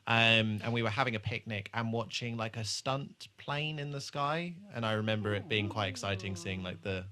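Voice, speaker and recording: A man's voice: lowish voice, normal speed, sharp tone. A man co-hosting a podcast, chatting casually and informally about a TV show, with some swearing. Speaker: podcast host. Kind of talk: casual chat reviewing a TV episode.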